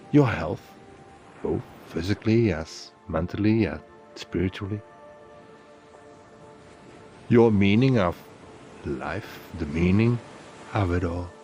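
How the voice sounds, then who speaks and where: A man narrating a guided meditation in a soothing, deliberate way, leaving pauses between phrases. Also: low voice, a little mellow.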